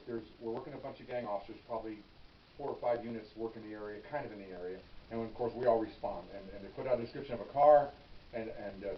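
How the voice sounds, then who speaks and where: A retired police officer, an older man, telling a story from his career to the camera in a casual, animated way and acting out the shouting. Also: medium pitch. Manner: animated, acts out his own yelling. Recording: roomy, distant, dull with hiss.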